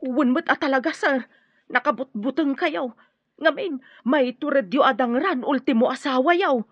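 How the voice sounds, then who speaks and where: A woman's voice: high voice, moderate pace, dry recording, natural voice. A woman playing a nervous, talkative, deferential household servant with an Ilocano accent in a radio drama. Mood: startled, nervous. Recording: dull, dry radio drama audio.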